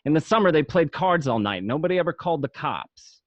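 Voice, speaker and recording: A man's voice: fairly high pitch, lively intonation, fairly quick, no echo, highs cut off, lightly acted. A man playing a gangster who looks back on his youth, narrating in a reflective, matter-of-fact way for an amateur radio drama. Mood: nostalgic, proud.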